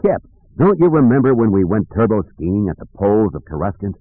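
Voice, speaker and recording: An urgent, emphatic starship pilot, as a male narrator plays him. He pleads with rough loyalty and swinging intonation in an audiobook, on a narrow-band recording. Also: on the low side, big pitch swings, brisk, no echo. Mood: pleading.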